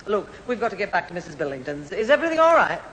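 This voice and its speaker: A woman playing a brisk, fussy mother in a 1970s radio sitcom. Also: medium pitch, sing-song, a bit bright. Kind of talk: 1970s radio sitcom acting before an audience. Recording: distant, echoing hall, old radio recording.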